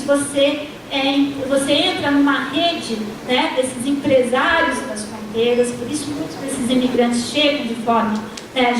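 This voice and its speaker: A high-pitched woman delivers a university panel lecture, often dropping a questioning "né" and breaking off words to restart them. She sounds distant in a very echoey lecture hall, with clear background noise in the room. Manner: frequent tag "né", restarts mid-word. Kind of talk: academic panel talk with slides.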